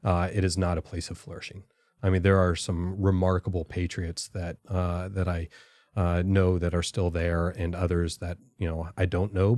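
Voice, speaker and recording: A middle-aged man, a podcast guest and former intelligence officer, answering in a careful, halting, reflective way. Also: sits low, a little mellow, no echo, clean studio sound.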